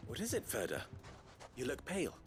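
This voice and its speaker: Earnest, commanding lord with swooping delivery. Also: male voice, mid-range voice, huge pitch swings, a little fast. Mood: urgent, commanding. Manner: formal, earnest, articulate. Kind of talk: dramatic video game acting. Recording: loud music behind.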